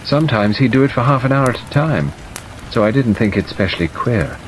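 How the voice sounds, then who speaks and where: A man's voice: mid-range voice, a little fast, low-quality recording. A man narrating an audiobook in a scripted, dramatic storytelling style, as the narrator of a tale. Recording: steady rain noise over the voice. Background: rain ambience.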